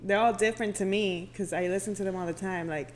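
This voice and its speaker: Chatty woman radio personality, mid-pitched. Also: steady tone, fast, a little mellow, a little hiss or hum. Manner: informal, frequent "like". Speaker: radio personality, podcast guest. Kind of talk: casual chat.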